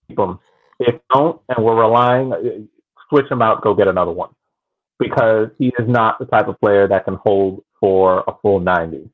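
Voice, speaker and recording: A thin, band-limited recording carries a man of average pitch. He talks through sports analysis casually, repeating phrases for emphasis as he explains. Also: phone-quality sound. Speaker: podcast panelist.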